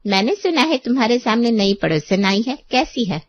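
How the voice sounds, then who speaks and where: A woman voicing a sharp-tongued, teasing older auntie in an audio story, wry and pointed in manner. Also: fairly high pitch, very animated, normal speed, dull audio. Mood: welcoming, gossipy.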